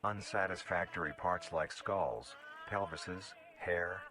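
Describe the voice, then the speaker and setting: A man reading scripted documentary-style narration in a formal, measured, explanatory manner. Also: sits low. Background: background music.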